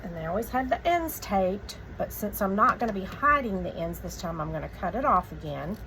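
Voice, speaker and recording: A woman hosting a craft tutorial, giving relaxed, chatty step-by-step instructions in a voice a little croaky from a cold. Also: mid-range voice, a little fast, a little hiss or hum, poor audio.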